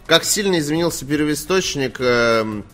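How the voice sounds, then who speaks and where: A man's voice: on the high side, expressive, moderate pace, a bit bright. A man hosting a games news stream, talking casually in a slangy, opinionated, rambling way. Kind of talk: casual live stream chat.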